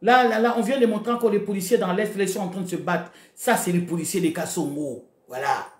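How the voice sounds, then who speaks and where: A woman's voice: mid-range voice, a little fast, echoey room. A Congolese-accented woman delivering an impassioned political rant to her online followers, exhorting them and repeating phrases emphatically as she switches between Lingala and French. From Congo (DRC). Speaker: online political commentator, video host.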